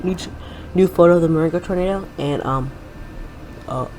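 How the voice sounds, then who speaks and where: A man playing a flustered local weather broadcaster, giving halting, repetitive live emergency warnings full of fillers. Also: fairly high pitch, darkish tone, slight background noise, close to an everyday voice, poor audio. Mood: alarmed, flustered. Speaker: TV storm meteorologist.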